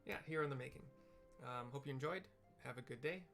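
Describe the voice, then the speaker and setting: A man's voice: mid-range voice, fast talker, fairly bright tone, faint music behind. A man casually narrating a video game playthrough, describing the scene in an informal, explanatory way.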